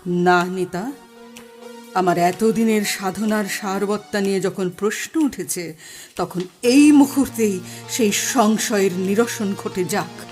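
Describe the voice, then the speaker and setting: An actress playing a dignified, solemn spirit medium who speaks deliberately in an audio drama. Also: female voice, medium pitch, darkish tone, soft background music, lightly acted. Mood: dignified, resolute. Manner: slow, deliberate, incantatory. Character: solemn, dignified female spirit medium, older aunt figure.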